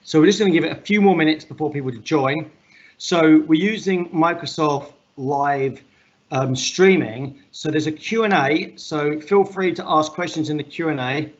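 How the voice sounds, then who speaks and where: A man hosting an online meetup in casual talk. He has a loud, projecting voice and rambles and jokes, with lots of fillers. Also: a little high, moderate pace, dull audio. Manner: rambling, filler-heavy, joking. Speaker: meetup host.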